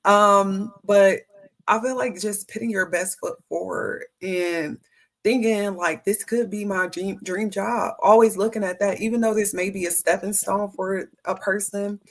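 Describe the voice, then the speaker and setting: A woman's voice: mid-range voice, steady tone, unhurried. A young adult woman, a guest in a casual interview, talking through her views on hiring with plenty of fillers and restarts. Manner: chatty, many fillers and restarts. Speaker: HR professional guest.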